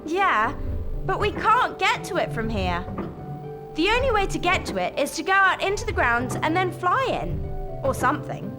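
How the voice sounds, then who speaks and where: An actress playing a brisk, clever, bossy teenage schoolgirl in a video game. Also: very high voice, big pitch swings, music in the background.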